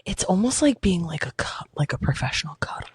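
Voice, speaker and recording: A young adult woman with a deep voice, a podcast guest talking candidly and chatting easily. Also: big pitch swings, a little fast, slightly rough, no room sound, in a whisper. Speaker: podcast guest, boudoir photographer. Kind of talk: casual chat.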